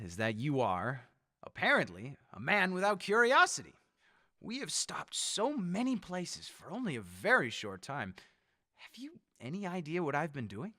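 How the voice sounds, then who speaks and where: A man playing a megalomaniac leader bent on mass death in a radio horror drama, theatrical and gloating, moving between hushed menace and shrieks. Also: on the high side, no echo, clean studio sound, heavily acted. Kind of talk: old-time radio horror drama acting.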